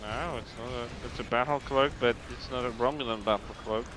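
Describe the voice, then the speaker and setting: A man's voice: medium pitch, brisk. Laid-back man explaining over background noise. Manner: relaxed, explains game mechanics. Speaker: co-player guest. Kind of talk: casual chat while gaming. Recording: noisy background ambience.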